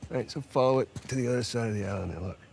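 A middle-aged man with an American accent playing a roguish, excitable older-brother treasure hunter in a video game, with a gravelly, teasing delivery. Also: medium pitch, very fast, slight background noise, natural voice. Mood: excited. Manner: teasing, casual, enthusiastic. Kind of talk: video game cutscene acting.

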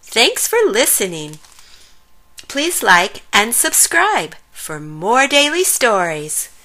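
A woman reading a rhyming children's picture book aloud in an animated, sing-song way with drawn-out emphasis. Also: on the high side. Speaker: children's story reader.

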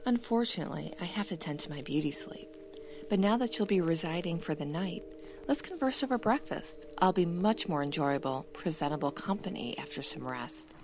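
A woman's voice: lowish voice, lively intonation, normal speed, over music. A woman playing a refined, coy lady hotel guest with elegant, formal phrasing in an old-time radio drama. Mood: polite, demure.

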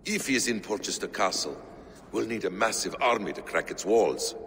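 A man playing a grave, watchful middle-aged assassin in a video game, with a measured, formal, brooding manner. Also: lowish voice, lively intonation, fairly bright tone, slight background noise. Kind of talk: dramatic video game cutscene acting. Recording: reverberant hall with music behind.

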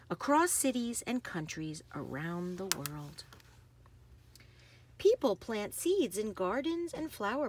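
A woman reads a children's picture book aloud in an unhurried, very lively voice with a slightly husky edge. She phrases deliberately and leans into the action words. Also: mid-range voice. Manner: animated, emphasizing action words. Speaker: read-aloud host.

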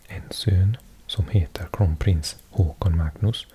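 Man whispering ASMR, slow and mellow, low-pitched, close to the mic. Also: a little hiss or hum. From Wales. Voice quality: soft unvoiced whisper. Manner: slow, gentle, self-correcting learner. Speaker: ASMR creator. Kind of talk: whispered ASMR language reading. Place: indoors at a table.

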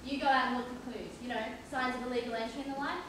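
A woman's voice: a little high, fairly even intonation, racing, a bit bright, natural voice. A woman acting a pompous, condescending male police inspector who interrogates people in an amateur stage play. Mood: pompous, patronising. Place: large theatre stage, indoors. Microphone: far audience camera. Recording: distant echoey theatre stage, noisy.

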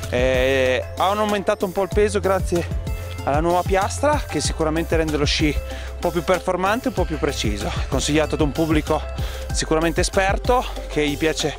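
A man's voice: on the high side, expressive, normal speed, music in the background. A man giving a matter-of-fact ski review. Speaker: ski tester. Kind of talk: product review.

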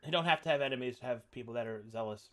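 A man's voice: medium pitch, racing. A man acting as dungeon master, chatting casually with players and walking them through setup. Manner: laid-back, talks players through software setup. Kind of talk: casual chat setting up a game.

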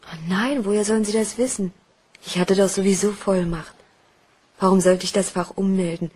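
An actress playing a nervous, hesitant young secretary, earnest and uneasy, in a crime radio play. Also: female voice, mid-range voice, steady tone, moderate pace, darkish tone, breathy edge, natural voice. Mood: careful, compliant.

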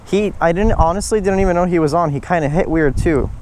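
A young man with a fairly high voice talks casually through a fishing vlog. His wording is slangy and informal. The sound comes from an action camera outdoors, with clear background noise. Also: fast, a little mellow. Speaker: fishing vlogger. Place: outdoors on grassy banks beside water.

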